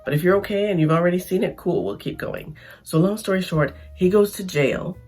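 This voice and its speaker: A Canadian woman talking through an opinion vlog in a chatty, emphatic way, hoarse from losing her voice. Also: fairly low pitch, a little fast, darkish tone, faint music behind. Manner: chatty, emphatic, frequent restarts. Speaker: vlogger.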